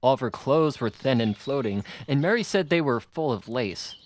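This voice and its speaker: A man's voice: mid-range voice, expressive, normal speed. A man reading a children's novel aloud as scripted audiobook narration. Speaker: audiobook reader.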